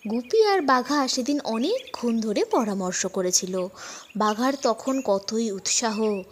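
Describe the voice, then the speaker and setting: Female narrator, slightly high pitch, very slow delivery, scripted folk tale storytelling, noticeable background noise. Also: animated.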